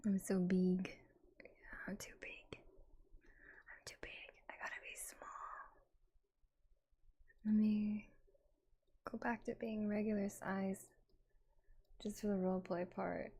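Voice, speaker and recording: A woman chatting on a live ASMR stream, moving between breathy whispers and soft speech close to the microphone. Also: mid-range voice, level tone, takes it slowly, long pauses. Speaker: streamer, ASMR roleplayer and voice actor.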